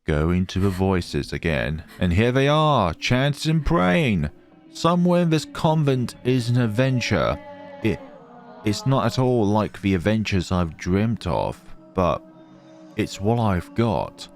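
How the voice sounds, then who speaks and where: Deep guy reading visual novel lines dramatically, slow, music behind. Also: very animated. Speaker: let's play host. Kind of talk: reading game text aloud with reactions. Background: game music.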